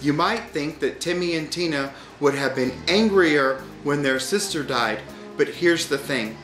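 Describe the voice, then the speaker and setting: A man narrates a storybook in a wry, deliberate way. His voice sits a little high and moves slowly. The room sounds echoey, and music plays behind him. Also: animated, a bit bright. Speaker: audiobook reader.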